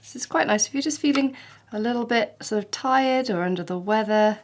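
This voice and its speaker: A woman, a little snuffly with hay fever, talking through a watercolour painting tutorial in a gentle, chatty, encouraging way. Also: on the high side, a little fast, a little hiss or hum. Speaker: watercolour art teacher.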